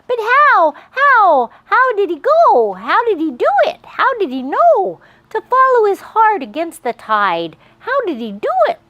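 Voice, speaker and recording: A woman's voice: very high voice, huge pitch swings, measured pace, few pauses, pushed and bright, no room sound, muffled recording, pitched above the performer's own voice, full character voice. A woman voicing a young chickapig boy in a storybook, plaintive and full of earnest, insistent wonder. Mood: pleading wonder.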